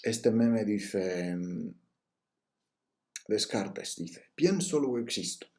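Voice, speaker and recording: A man's voice: lowish voice, lively intonation, extremely slow, a little mellow. A man giving a recorded university lecture on logic, explaining step by step with frequent fillers and restarts.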